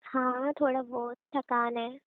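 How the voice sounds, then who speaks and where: A woman acts as a playful young wife in a homely audio drama. Her voice is high and she talks informally, teasing with affection and a stubborn streak. It comes through a thin phone-like line with a roomy echo. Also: little pitch movement, slow pace. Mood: mild, reassuring. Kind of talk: casual domestic audio roleplay.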